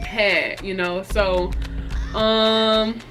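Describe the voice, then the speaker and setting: A woman vlogger telling a story casually and with animation, in a sassy, slangy way with drawn-out emphasis. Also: mid-range voice, fairly even intonation. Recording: music behind the voice. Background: background music.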